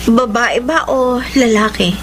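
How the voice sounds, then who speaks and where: A woman's voice: on the high side, a little slow, a bit bright, slight background noise. An actress playing a lovelorn young woman in a Filipino radio drama, earnest and emotive, with a Filipino accent. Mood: downcast, curious. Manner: earnest, emotive delivery, mixing Tagalog and English. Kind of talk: Filipino radio drama acting and letter narration. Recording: radio drama with music underneath.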